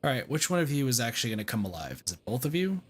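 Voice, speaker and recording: A man's voice: medium pitch, big pitch swings, rapid-fire, slight background noise. A man giving casual, reflective livestream commentary on a game in an informal way. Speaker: game streamer. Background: faint game music.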